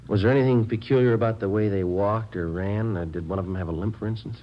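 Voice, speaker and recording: A man's voice: fairly low pitch, quick pace, natural voice. An American man playing a terse, businesslike police detective sergeant in a radio drama, speaking in a clipped, matter-of-fact way. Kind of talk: 1940s-50s radio drama acting and case narration. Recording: dull old radio recording, music behind.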